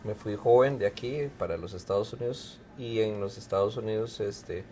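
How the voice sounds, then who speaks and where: A middle-aged man, a professor and researcher from Mexico who grew up in Costa Rica, answering interview questions in a relaxed, explanatory way with frequent fillers. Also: lowish voice, normal speed, a little hiss or hum. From Mexico, raised in Costa Rica. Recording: faint music behind.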